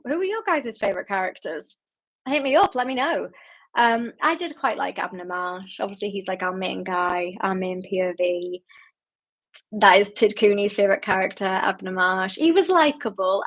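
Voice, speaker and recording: A woman's voice: on the high side, fairly bright tone, low-quality recording. A woman hosting an online book club, chatting casually and reading out viewer comments with lots of laughs and fillers, sounding tired late in the evening. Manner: chatty and giggly, with frequent fillers.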